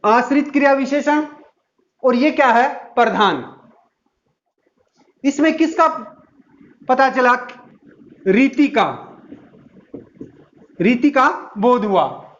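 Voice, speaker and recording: A man's voice: extremely high pitch, expressive, slow pace, long pauses, fairly bright tone. A man teaching a grammar lesson, dictating examples word by word and asking and answering his own questions. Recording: dull lo-fi sound.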